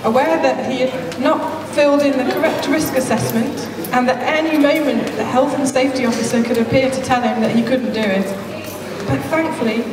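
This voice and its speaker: A woman's voice: on the high side, lightly acted. A woman playing the storytelling narrator of an amateur stage pantomime, reading scripted narration to an audience. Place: large indoor hall, live stage show. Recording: very echoey hall from far off, audience noise.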